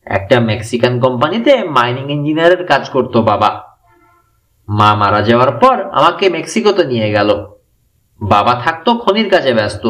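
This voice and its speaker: A man's voice: mid-range voice, fairly bright tone. A man voicing a determined woman in an audiobook, earnestly explaining and recounting her story. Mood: reflective. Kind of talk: audiobook character dialogue.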